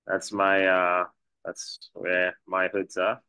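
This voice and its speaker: A man's voice: low voice, monotone, slow, pushed and bright, clean tone, no echo. A middle-aged Trinidadian man, a chef and restaurant co-founder, talking in a rambling way with many restarts in a video call interview.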